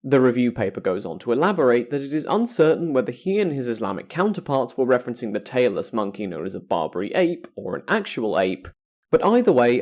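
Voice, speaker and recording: A man presents scripted educational material as a podcast host, at a middling pitch. His intonation rises and falls in a lively way, while the wording stays formal and carefully structured.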